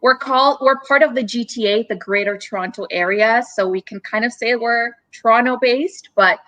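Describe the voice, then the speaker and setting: A woman with a somewhat high voice talks earnestly as a live-stream guest, walking through her ideas and stacking points into long lists. The sound is close and dry, with little room around her. She was born in Romania. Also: normal speed, sharp tone, no echo, low-quality recording. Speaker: guest, communications company CEO.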